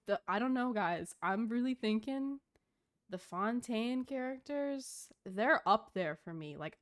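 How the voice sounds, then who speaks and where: A woman giving casual live stream commentary on a game, chatting in a gushing, giggly, informal way. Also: medium pitch.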